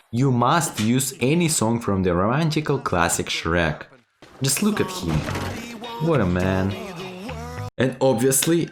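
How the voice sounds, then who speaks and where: A man narrating a tongue-in-cheek video tutorial in a playful, mock-serious, emphatic way. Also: mid-range voice. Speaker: video creator giving sarcastic game-making tips.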